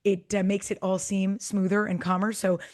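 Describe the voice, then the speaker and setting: A woman co-hosting a policy podcast, a little hoarse and raspy from losing her voice, talking in an earnest, articulate way. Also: mid-range voice, little pitch movement, normal speed, a little mellow. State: slightly hoarse, voice partly lost. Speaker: podcast co-host. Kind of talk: conversational podcast interview.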